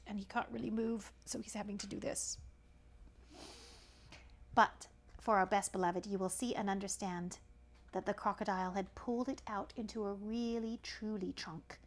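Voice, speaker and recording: A woman reading a children's story aloud in an animated style, with chatty asides to a young listener. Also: medium pitch.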